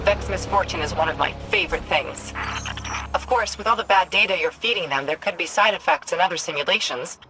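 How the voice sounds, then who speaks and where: A woman voicing a brisk, bossy AI companion in a video game, with a dry, sardonic and casual manner. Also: on the low side, music in the background, close to an everyday voice, low-quality recording. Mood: smug, playful. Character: wry, flippant female AI companion, brisk and bossy. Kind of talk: video game cutscene acting.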